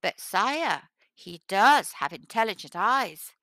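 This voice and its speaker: A woman plays a clever village wise man from a folktale. The delivery is calm, assured and a little sly, in a bright, dry-recorded voice. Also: medium pitch, slow pace, no room sound, full character voice. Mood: calm, confident. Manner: soft-spoken, assured. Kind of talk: storybook character voice.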